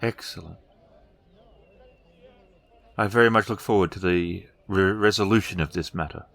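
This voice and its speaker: A man playing a formal, terse widowed noblewoman in a tabletop game, speaking in measured, clipped phrases. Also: low voice, vocal fry, a bit bright. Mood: cool, formal. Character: formal, terse widowed noblewoman requesting her husband's swords.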